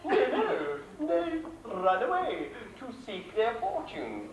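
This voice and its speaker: A woman's voice: a little high, wildly swooping pitch, slow pace, crowd noise, heavily acted. A woman playing a breezy, scheming pantomime character in an exaggerated theatrical manner. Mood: scheming, dismissive. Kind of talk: broad stage pantomime acting. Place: theatre stage. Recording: distant echoey theatre, music, audience noise.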